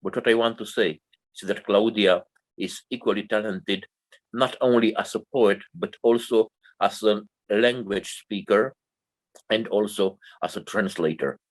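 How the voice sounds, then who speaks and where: A man's voice: fairly low pitch, a little slow, rough recording. A man with a Romanian accent, a non-native English speaker, formally introducing a poet as co-host, with many fillers and restarts.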